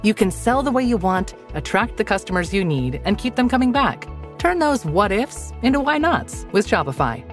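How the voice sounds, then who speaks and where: Woman, ad announcer, animated intonation, scripted sales read, faint music behind. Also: mid-range voice, normal speed.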